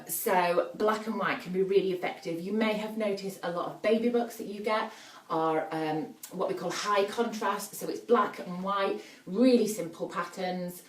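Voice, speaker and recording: Northern English woman, somewhat low-pitched, informal live-stream host, chatty and explanatory. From northern England, Yorkshire. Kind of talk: casual live demo chat. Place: indoors at a family house.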